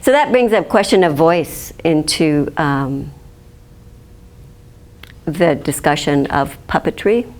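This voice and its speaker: A woman's voice: sits low, takes it slowly, long pauses. Chatty woman librarian teaching puppetry. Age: 50s. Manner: chatty, animated, laughs often. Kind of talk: demonstration lecture.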